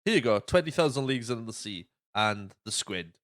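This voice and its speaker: A man's voice: on the low side, brisk. Sweary man chatting about films. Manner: sweary, animated retelling of a film plot. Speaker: podcast host. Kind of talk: casual film podcast chat.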